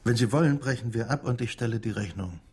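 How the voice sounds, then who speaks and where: An actor playing a cool, probing interrogator, formal and curt, in a radio drama. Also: male voice, mid-range voice, quick pace, close to an everyday voice. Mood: cool, challenging. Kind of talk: German radio drama acting.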